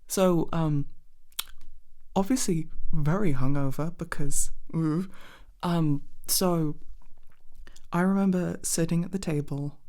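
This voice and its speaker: Low-voiced young woman rambling softly. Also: slow, pauses often. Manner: hesitant, rambling, self-interrupting, casually sweary. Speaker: ASMR roleplay performer playing a girlfriend. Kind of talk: casual rambling ASMR chat to a partner.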